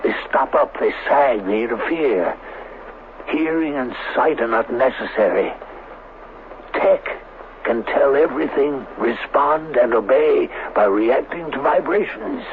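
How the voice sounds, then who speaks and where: Slow, grandiose mad scientist. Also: male voice, medium pitch, animated, phone-quality sound. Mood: grandiose, fervent. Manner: grandiose, deliberate, theatrical. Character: obsessed male scientist, proud of his monstrous creation. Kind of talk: 1970s radio drama acting. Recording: noisy, thin 1970s radio broadcast.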